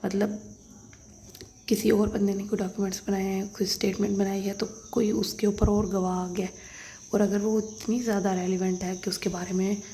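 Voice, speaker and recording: A woman's voice: mid-range voice, little pitch movement, normal speed, dark tone. A woman lecturer methodically reading legal text aloud and explaining it, repeating and rephrasing points for students. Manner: alternates English legal clauses with simple Hindi-Urdu explanation. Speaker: law lecturer. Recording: noticeable background noise.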